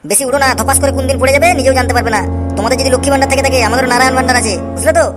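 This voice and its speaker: A man voicing a disgruntled, coarse husband in an amateur comedy cartoon, grumbling and hectoring, with artificially raised pitch. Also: steady tone, rapid-fire. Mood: angry, threatening. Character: disgruntled, bitter husband railing at his family. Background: faint music.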